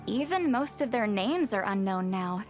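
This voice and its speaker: A woman voicing a proud, sharp-tongued young shaman in a video game, explaining lore in a wry, lecturing way. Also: mid-range voice, animated, normal speed, natural voice. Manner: wry, lecturing, slightly haughty. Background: game soundtrack music.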